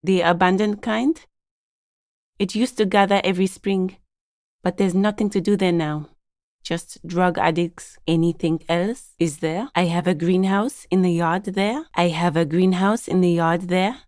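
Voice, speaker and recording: An actress playing a guarded, matter-of-fact gardener in a video game, with an understated, polite but curt delivery. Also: female voice, lowish voice, steady tone, measured pace, a little mellow, no room sound, clean studio sound, close to an everyday voice. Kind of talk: game dialogue voice lines, understated and conversational.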